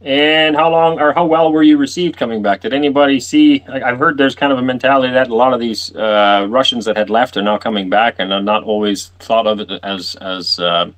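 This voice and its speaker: A man's voice: mid-range voice, steady tone, a little fast, few pauses, fairly bright tone. A man hosting a casual interview, talking in an emphatic, storytelling way.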